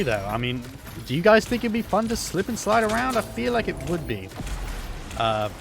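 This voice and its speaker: Hoarse rambling man telling absurd tales. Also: medium pitch, background noise. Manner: rambling, filler-heavy, absurd deadpan tales. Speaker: gaming YouTuber. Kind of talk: rambling story over gameplay.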